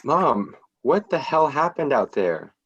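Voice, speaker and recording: A man's voice: mid-range voice, very animated, slow. A man in a screenplay table read, playing a concerned son questioning his mother. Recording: muffled lo-fi mic, faint noise.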